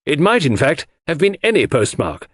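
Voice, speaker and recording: A pompous, relentless prosecuting barrister acted by a male narrator from an audiobook courtroom. He hectors and insists, his husky voice raised high and swinging in pitch. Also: big pitch swings, moderate pace, fairly bright tone, no room sound, heavily acted. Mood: hectoring, triumphant. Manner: hectoring, insistent cross-examination.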